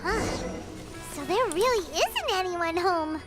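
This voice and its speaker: A woman voicing a tiny, chatty, excitable fairy companion in a video game, with a squeaky childlike voice and exaggerated delivery. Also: slow pace, loud music behind the voice, exaggerated voice. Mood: curious. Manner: exaggerated, refers to self in third person. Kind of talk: animated video game voice acting.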